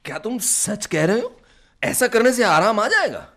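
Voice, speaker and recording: A man's voice: sits high, very animated, moderate pace, pushed and bright, heavily acted. An actor playing an ailing, plaintive man with a cough in a Hindi radio play. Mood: doubtful, complaining. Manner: plaintive, exasperated. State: coughing, ill. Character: ailing, grumbling man doubting his friends' home remedies.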